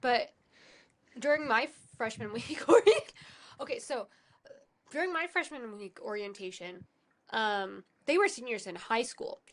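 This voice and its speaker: A woman's voice: a little high, unhurried. A young woman co-hosting a podcast, a little congested and sniffly, telling a rambling story. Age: young adult. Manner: rambling, self-correcting storyteller. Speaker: podcast co-host. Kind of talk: casual chat, storytelling.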